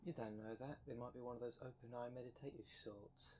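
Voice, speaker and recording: A man's voice: medium pitch, fairly even intonation, a little fast, darkish tone, slight background noise, natural voice. A man playing the impatient, sarcastic half of a dream demon in an ASMR roleplay, blunt and slangy. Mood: skeptical. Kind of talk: ASMR roleplay audio drama.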